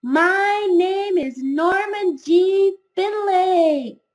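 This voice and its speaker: A woman's voice: very high-pitched, drawn-out words, pitched above the performer's own voice, exaggerated voice. A woman voicing an earnest, polite, nervous schoolboy in a children's storybook, with emphatic delivery. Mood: proud, loud.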